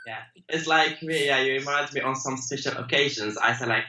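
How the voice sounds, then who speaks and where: A man's voice: mid-range voice, brisk. A man from Algeria with an Algerian accent, chatting easily as an interview guest and laughing often. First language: Algerian Arabic, French. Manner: easygoing, laughs often, lively quoting. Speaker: guest artist. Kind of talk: casual conversation. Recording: distant, roomy, dull remote audio.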